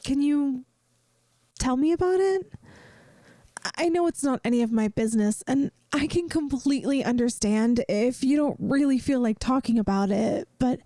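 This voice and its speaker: A woman playing a caring young best friend in a soft, intimate ASMR roleplay, speaking in a gentle, earnest, playful way. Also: high-pitched, dry recording, studio quality. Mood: gently concerned. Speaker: audio roleplay performer.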